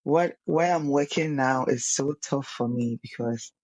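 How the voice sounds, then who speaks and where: A woman's voice: very deep voice, a little slow, a little mellow, no echo. A young woman, a singer guesting on a casual podcast, earnest and often repeating words as she searches for them.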